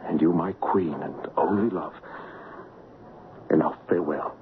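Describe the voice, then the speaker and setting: An actor playing a gallant, honorable, lovesick gentleman in a solemn, formal 1970s radio drama style. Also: extremely low pitch, measured pace, background noise. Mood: tender farewell. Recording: muffled old radio broadcast with music.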